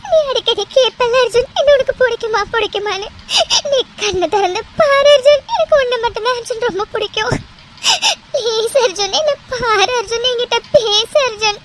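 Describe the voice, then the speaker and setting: A woman's voice: extremely high pitch, moderate pace. A woman playing a distraught, devoted young woman in an audio drama, crying and pleading over her injured beloved. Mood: tearful pleading. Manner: tearful, pleading, repetitive begging. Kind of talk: melodramatic Tamil audio drama acting. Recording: noisy recording with clicks.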